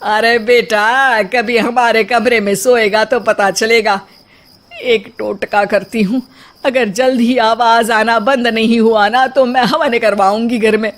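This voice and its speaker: Anxious comic mother-in-law, acted by a woman: middle-aged, highish, unhurried, melodramatic and forever complaining. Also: fairly even intonation. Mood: exasperated.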